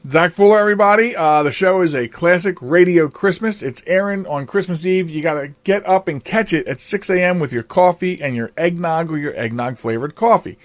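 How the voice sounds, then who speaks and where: A man's voice: sits high, a little fast, hardly any pauses, no room sound. A man hosting a podcast, chatting casually with a guest in a chatty, rambling way. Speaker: podcast host. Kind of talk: casual interview chat.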